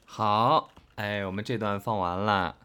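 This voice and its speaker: A man co-hosting a casual livestream chat in an excitable, repetitive way. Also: on the low side, unhurried, smooth. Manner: excitable, repeats phrases.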